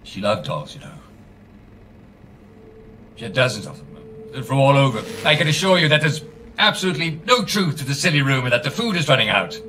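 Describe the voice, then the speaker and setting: An actor playing a British TV presenter, theatrical and hesitant, rambling through cheer that cracks into guilt and despair. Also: male voice, mid-range voice, big pitch swings, brisk, pushed and bright, distant mic, slight background noise. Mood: wistful, guilty. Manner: hesitant, theatrical, full of asides. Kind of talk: rambling broadcast monologue, acted.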